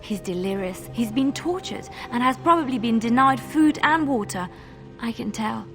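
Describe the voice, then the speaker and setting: Higher-voiced caring game companion. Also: female voice, lively intonation, moderate pace, fairly bright tone. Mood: concerned. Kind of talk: fantasy video game acting. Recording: music under the voice.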